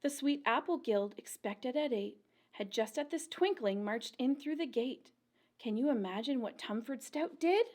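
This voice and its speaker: A woman reading a rhyming picture book aloud to young children as a storytime librarian, with an expressive, deliberate, sing-song storyteller delivery. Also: high voice.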